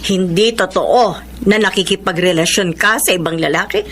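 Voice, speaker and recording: A woman's voice: on the low side, normal speed, a bit bright, a little hiss or hum, lightly acted. An actress playing a concerned older mother admonishing her grown daughter in a Filipino radio drama. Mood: probing. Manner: earnest, admonishing.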